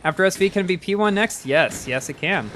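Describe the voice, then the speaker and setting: A man's voice: high-pitched, animated, brisk, fairly bright tone, slight background noise. A man in his early twenties chatting casually while livestreaming a game and reading chat, rambling and often repeating words as he restarts. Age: early 20s. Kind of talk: casual live-stream chat.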